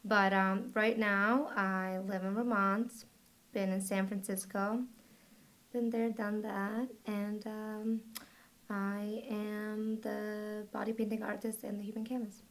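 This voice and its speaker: A woman from Bosnia speaks in a level, even voice with little rise and fall. She talks softly and reflectively, pausing and hesitating as she gathers her thoughts in a documentary interview. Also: medium pitch. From Bosnia and Herzegovina. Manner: soft, reflective, hesitant with pauses. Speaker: body paint artist.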